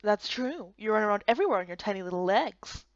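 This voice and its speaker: A woman's voice: medium pitch, animated, fast talker, no room sound, dull audio, pitched below the performer's own voice, close to an everyday voice. A woman voicing a cheeky young male elf in a fantasy game, smug and sardonic. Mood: smug, mocking. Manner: teasing, sardonic.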